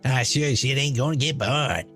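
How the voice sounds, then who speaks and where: A man's voice: mid-range voice, moderate pace, fairly bright tone, soft background music, close to an everyday voice. Man voicing a brash, sneering, venomous trash-talking woman. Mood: boastful. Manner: sneering, profane, boastful, mocking. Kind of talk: dramatized character monologue.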